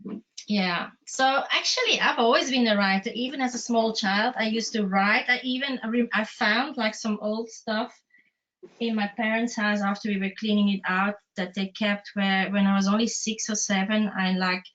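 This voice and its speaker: A woman's voice: medium pitch, fairly even intonation, moderate pace, fairly bright tone. A woman whose first language is not English, chatting casually and self-deprecatingly as an interview host. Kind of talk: casual online conversation. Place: remote video call. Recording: dull lo-fi video-call audio.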